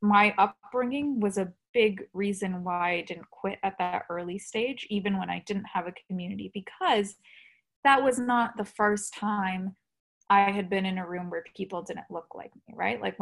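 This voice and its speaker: A woman's voice: medium pitch, normal speed, gravelly. A woman being interviewed, talking earnestly and reflectively with frequent fillers. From India. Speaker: guest. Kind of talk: conversational interview.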